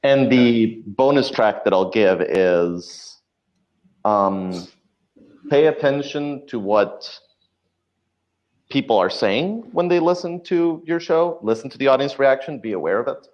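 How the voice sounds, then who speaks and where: A man's voice: mid-range voice, lively intonation, slow pace. A man giving thoughtful, explanatory advice as a guest in a conversational podcast interview. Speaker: podcast guest, audio drama writer. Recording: dull lo-fi audio.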